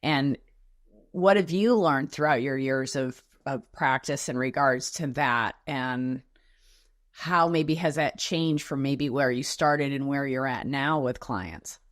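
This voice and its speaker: A woman with a very low-pitched voice hosts a wellness interview show. She speaks effusively and gushes over her guest, often restarting her sentences. The recording is dry and close. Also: takes it slowly, no room sound. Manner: effusive, gushing praise with restarts. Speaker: podcast host. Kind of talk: warm interview chat.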